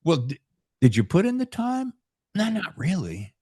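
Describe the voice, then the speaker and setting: A man's voice: sits high, huge pitch swings, normal speed, dark tone, breathy edge. A man hosting a podcast in a casual, chatty way with a folksy, jokey manner. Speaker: podcast host.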